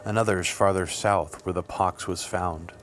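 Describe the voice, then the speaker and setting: A man reading scripted literary fiction as an audiobook narrator, with measured, deliberate phrasing. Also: low-pitched, fairly even intonation, noisy background. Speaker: audiobook reader. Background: music.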